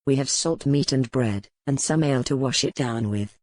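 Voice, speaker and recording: A man playing a war-weary, reserved medieval duke in a historical romance audiobook, with measured, formal speech. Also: mid-range voice, dry recording, close to an everyday voice. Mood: calm, reserved.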